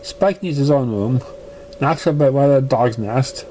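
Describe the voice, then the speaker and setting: A man's voice: mid-range voice, moderate pace, mellow, slight background noise, close to an everyday voice, poor audio. A man voicing a professional, matter-of-fact female pony health examiner in a read-aloud story. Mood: firm.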